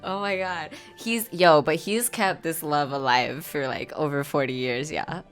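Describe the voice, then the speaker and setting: Low-pitched woman, amused casual game commentary. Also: a little slow, faint music behind. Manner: amused, informal, slangy. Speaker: gaming video host.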